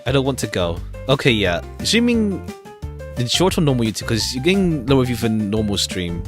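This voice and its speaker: A man's voice: medium pitch, big pitch swings, a little fast. A man streaming a game with casual commentary, rambling hesitantly and repeating phrases as he goes. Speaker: live streamer. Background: faint jazzy game music.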